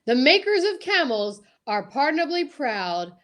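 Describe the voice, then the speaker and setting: A woman's voice: sits high, very animated, measured pace, a bit bright. A woman announcing an old-time radio show, with casual asides.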